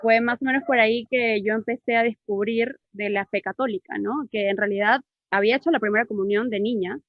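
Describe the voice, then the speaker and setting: A woman's voice: on the high side, brisk, dry recording, highs cut off. A woman in her thirties from Peru, with a Peruvian accent mixed with a Cuban touch, telling her story as an interview guest on a video call and talking chattily with many fillers and repeated words. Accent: Peruvian Spanish with a mixed, Cuban-tinged touch. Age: 30s. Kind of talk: personal testimony over a video call.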